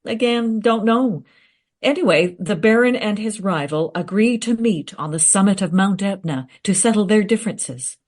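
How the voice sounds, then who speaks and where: An actress playing a confident, eager researcher in a radio play, recounting history in a teasing, matter-of-fact way. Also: female voice, mid-range voice, animated, normal speed, a little mellow, close to an everyday voice. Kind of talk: radio play dialogue, storytelling.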